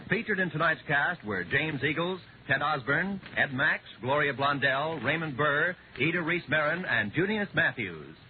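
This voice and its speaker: A man's voice: a little high, animated, moderate pace, few pauses, a little hiss or hum. A man announcing a radio show in formal 1950s broadcast style. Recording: muffled vintage radio broadcast, music behind.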